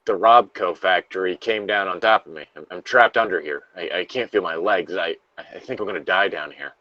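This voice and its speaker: Dying, trapped workman played by a man, low voice, weary and halting, dry dramatic diary reading. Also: pushed and bright, no room sound, muffled recording. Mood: horrified. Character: dying, despairing male factory worker trapped under rubble.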